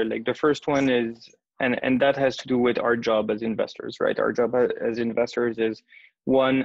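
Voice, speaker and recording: Gravelly, flat-voiced Swiss man. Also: medium pitch, no room sound. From Switzerland. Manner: methodical, lists points in order, frequent fillers. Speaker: venture capital investor. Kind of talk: interview answers. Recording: dull lo-fi dry sound.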